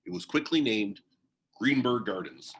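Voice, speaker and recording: A man's voice: lowish voice, expressive, measured pace, fairly bright tone. Man reading a biography aloud. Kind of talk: read-aloud narration of a children's biography.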